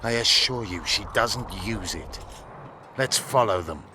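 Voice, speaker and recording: A man's voice: fairly low pitch, measured pace, pushed and bright, a little hiss or hum. A man acting a hardened, suspicious rebel commander in a video game, speaking bluntly and with clipped authority. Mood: suspicious, curt.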